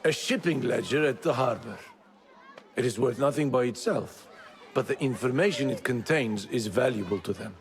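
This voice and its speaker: An actor playing a tired older man in a video game, a gruff but fatherly go-between. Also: mid-range voice, normal speed, a faint crowd, close to an everyday voice. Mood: stern, fatherly. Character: weary old male fixer, gruff but fatherly. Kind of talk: cinematic video game acting.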